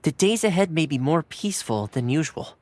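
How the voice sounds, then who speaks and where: A man's voice: fairly high pitch, lively intonation, brisk, lightly acted. An actor playing a cheerful young male retainer in a video game, easygoing and polite, with a playfully boastful streak. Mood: amiable. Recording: game audio with soft music behind.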